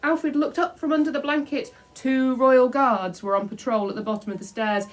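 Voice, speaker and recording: A woman reading a children's book aloud in an expressive, dramatic storytelling style. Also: sits high, moderate pace. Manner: animated, dramatic. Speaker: storybook reader. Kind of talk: expressive children's audiobook narration.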